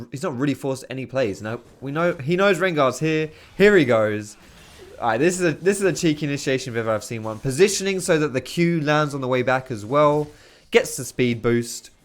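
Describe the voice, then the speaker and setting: Man, hoarse voice, excitable play-by-play, casual slang, gameplay commentary, home desk mic. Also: mid-range voice. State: hoarse, losing his voice. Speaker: video host.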